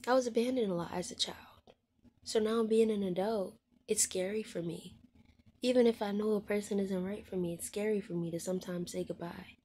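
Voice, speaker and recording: A woman in her twenties speaking candidly to her viewers, frank and heartfelt, often dropping to a soft hushed voice and swearing bluntly. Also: medium pitch, fairly even intonation, brisk, a little hiss or hum. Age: 20s. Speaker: vlogger. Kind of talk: candid personal monologue to viewers.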